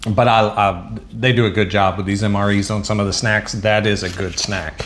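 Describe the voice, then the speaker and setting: Southern man for a casual food-review vlog, easygoing and self-deprecating, slightly low voice. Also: fairly even intonation, moderate pace, a bit bright, slight background noise. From Southern United States. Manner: rambling, chatty, self-deprecating, frequent um and uh. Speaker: MRE taste-test vlogger. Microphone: mic set up at a table.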